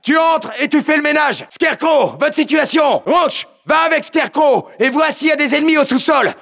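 An actor playing a gritty, commanding special-forces lieutenant in a video game, giving terse, clipped orders. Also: male voice, very high voice, normal speed, vocal fry, a bit bright, exaggerated voice. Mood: urgent, shouting. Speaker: squad leader. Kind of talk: video game combat voicelines, French dub.

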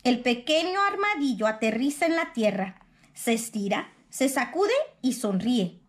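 A woman with a high voice reads a children's picture book aloud. Her delivery is expressive storybook narration. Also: a little slow.

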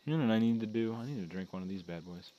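A man's voice: lowish voice, expressive, racing, highs cut off, noisy background. A young man chatting casually and telling rambling jokes while playing a game with a friend. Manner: joking, rambling storyteller. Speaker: gamer. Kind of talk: casual co-op gaming banter.

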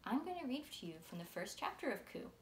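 A woman in her thirties reading a story aloud as its author, in a measured, clearly enunciated way. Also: high voice, sing-song. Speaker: author reading from own book. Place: small furnished room indoors. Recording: roomy sound with faint noise.

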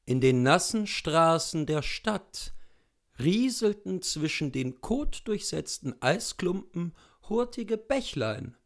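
A man in his thirties reading a literary story expressively, with deliberate dramatic pauses. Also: on the high side. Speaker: literary reader, narrator. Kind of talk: expressive literary audiobook narration. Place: indoors in front of bookshelves.

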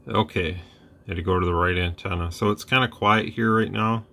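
A man hosting a casual radio demo at his workbench, talking in a relaxed, plain-spoken way with rambling asides. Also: sits low, a little fast, vocal fry. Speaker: radio repair channel host.

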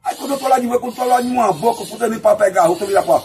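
A Brazilian man with a Bahian accent tells a casual anecdote in an excitable, slangy, repetitive way, acting out bits of dialogue. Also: extremely high pitch, racing, slight background noise. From Salvador, Bahia, Brazil. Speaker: comic storyteller. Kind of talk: animated casual anecdote telling.

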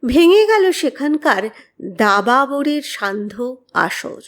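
High-pitched woman narrating slowly. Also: big pitch swings. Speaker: audiobook narrator. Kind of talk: dramatic scripted horror story narration.

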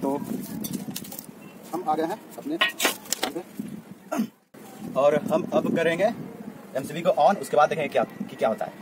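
Chatty young man over loud outdoor noise. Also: a little high, measured pace, pauses often. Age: young adult. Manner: chatty, keeps addressing viewers as friends. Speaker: DIY experiment vlogger. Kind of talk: casual step-by-step demo narration.

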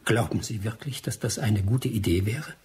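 An actor in a German radio drama playing a bitter, vengeful adventurer, defensive and scornful. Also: male voice, lowish voice, moderate pace, darkish tone, slight background noise, natural voice.

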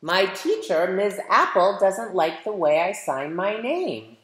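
A middle-aged American woman, a children's author, reads a picture book aloud and speaks directly to young listeners with emphatic, animated delivery. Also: mid-range voice, takes it slowly. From United States. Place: medium living room at home, indoors. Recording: roomy, echoey home recording.

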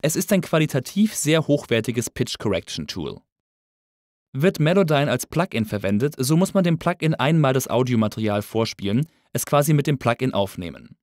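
A male instructor reads a tutorial script. His mid-pitched voice moves expressively while he explains things in an orderly way. The sound is close and dry, like a studio voice-over. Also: sing-song, moderate pace, a little mellow, dry recording. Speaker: audio engineering instructor. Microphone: close studio voice-over mic.

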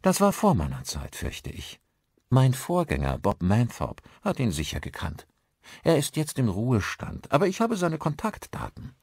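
A man acts out a friendly village pastor in an audiobook. He is courteous and talkative, with very lively intonation in a dry recording. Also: medium pitch, moderate pace, husky, no echo, voice pushed up, exaggerated voice. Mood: friendly, helpful. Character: friendly, chatty village pastor, male, married.

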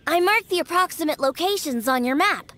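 A woman's voice: extremely high pitch, expressive, fairly quick, pushed and bright, exaggerated voice. A woman voicing a feisty, scornful young girl in a video game, with a pert, childlike, scolding manner. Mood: bossy, businesslike. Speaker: game character voice actor. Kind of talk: video game character dialogue.

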